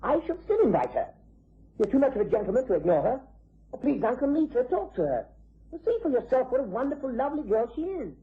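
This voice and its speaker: An actor playing an earnest, agitated young upper-class British nephew in a vintage radio drama. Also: male voice, extremely high pitch, very animated, quick pace, slightly rough, phone-quality sound, full character voice. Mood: pleading, defiant. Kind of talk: 1950s-60s British radio drama acting.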